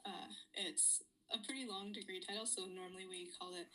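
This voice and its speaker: A woman's voice: medium pitch, fairly even intonation, brisk, bright, forceful tone, a little hiss or hum, poor audio. A young woman from California, a student guest, introducing herself in an upbeat, eager way. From California, United States. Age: young adult. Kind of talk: friendly introduction.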